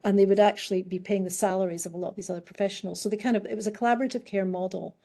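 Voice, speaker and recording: A middle-aged woman with a British accent, warm and mellow in tone, answers as a panel guest. She talks in a thoughtful, halting way, restarting phrases as she works out her point. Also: medium pitch, fairly even intonation. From United Kingdom. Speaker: guest expert in public health.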